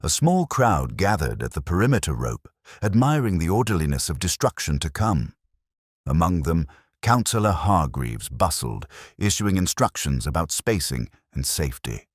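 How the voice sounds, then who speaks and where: A man with an extremely low voice narrates a mystery story as a scripted audiobook. His delivery is measured and literary, with lively swings in pitch. The tone is slightly husky and the sound is dry and close. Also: dry recording.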